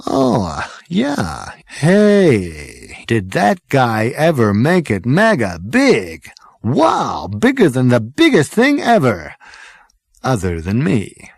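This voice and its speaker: A man's voice: medium pitch, wildly swooping pitch, slow, vocal fry, husky, no echo, studio quality, pitched above the performer's own voice, full character voice. A man voicing a brash, manic, boastful male alien hipster in a comic audiobook, slangy and exuberant. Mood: impressed, boastful.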